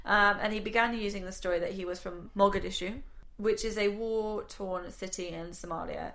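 A woman's voice: mid-range voice, fairly even intonation, moderate pace, fairly bright tone. A woman in her thirties co-hosting a podcast, chatty and sweary, telling a crime story. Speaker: podcast co-host. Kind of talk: casual chat, storytelling.

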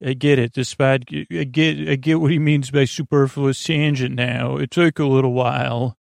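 A man hosting a bedtime podcast in a meandering, halting monologue, stammering, correcting himself and drifting off on tangents while reassuring the listener. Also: steady tone, no echo, clean studio sound. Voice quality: creaky, gravelly. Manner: reassuring, low-pressure. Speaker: podcast host. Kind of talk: rambling scripted-loose bedtime podcast monologue.

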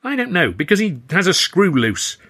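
A man's voice: sits high, big pitch swings, moderate pace, bright, forceful tone, pitched above the performer's own voice, heavily acted. Man as a resentful, insistent young comedian. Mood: bitter, insistent.

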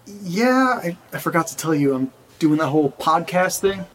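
Actor as higher-voiced, earnest, anxious young father. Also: animated, moderate pace, lightly acted. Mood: casual. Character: anxious earnest father newly moved in, recording a podcast. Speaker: narrator and lead character of audio drama. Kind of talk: naturalistic audio drama, conversational. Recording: roomy echo, faint background noise.

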